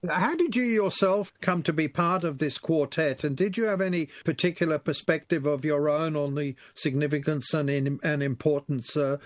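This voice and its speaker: A man's voice: fairly high pitch, moderate pace, few pauses, no room sound, studio quality. A man hosting a community radio interview, asking long wordy questions with many fillers.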